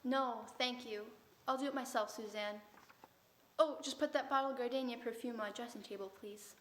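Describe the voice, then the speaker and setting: A woman's voice: a little high, steady tone, brisk, background noise, lightly acted. A woman playing a poised, refined young lady in an audio drama. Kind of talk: radio drama acting.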